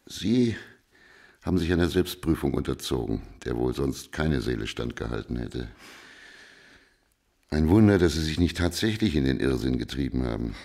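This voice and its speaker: An actor playing a blunt, unsentimental middle-aged private detective in a radio drama, brusque and matter-of-fact. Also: male voice, very deep voice, expressive, normal speed, gravelly, mellow, heavily acted. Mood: firm, dryly reassuring.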